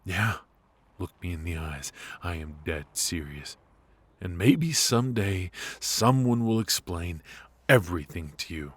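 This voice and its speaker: A man playing a cocky but affectionate secret agent in an intimate noir audio roleplay, with halting, teasing delivery. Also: low voice, lively intonation, slightly rough, exaggerated voice. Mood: urgent, tender. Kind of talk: intimate ASMR roleplay voice acting.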